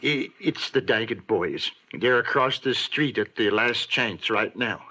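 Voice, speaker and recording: A man's voice: mid-range voice, lively intonation, unhurried, dull audio, background noise, close to an everyday voice. An actor playing a prim, timid male hotel clerk who stammers deferentially in an old-time radio western. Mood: nervous, apologetic.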